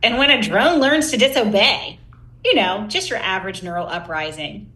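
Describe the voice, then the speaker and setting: A woman's voice: mid-range voice, expressive, moderate pace, sharp tone. A woman co-hosting a podcast, asking questions in a conversational interview style. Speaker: podcast co-host. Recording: roomy, echoey sound.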